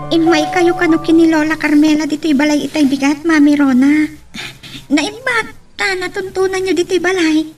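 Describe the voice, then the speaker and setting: Actress as very high-voiced anguished young Ilocano daughter. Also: female voice, moderate pace, lightly acted. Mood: concerned, polite. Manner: tearful, indignant outbursts. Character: anguished young daughter rejecting her long-absent mother. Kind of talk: Ilocano radio drama acting.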